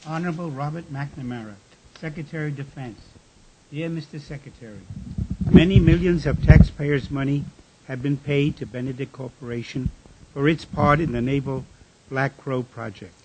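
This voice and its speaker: An actor playing a frustrated whistleblower in a radio drama, reading out a formal letter in a measured, deliberate way. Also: male voice, fairly high pitch, heavy background noise, close to an everyday voice. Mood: determined, formal.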